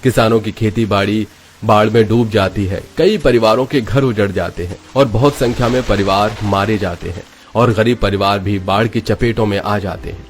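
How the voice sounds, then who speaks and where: A man's voice: lowish voice, moderate pace, slight background noise. A man giving dramatic scripted narration of a story.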